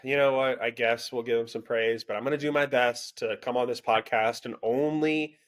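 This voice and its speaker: A man co-hosting a casual college sports podcast, dry and sarcastic, with a self-described monotone delivery. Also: medium pitch, normal speed. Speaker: podcast co-host.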